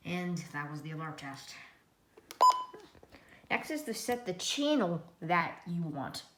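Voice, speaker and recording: Low-voiced American woman in a noisy echoey room. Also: very animated. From United States. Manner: halting, trailing off and restating. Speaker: product reviewer. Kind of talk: casual hands-on product demonstration. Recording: echoey room, loud noise, clicks.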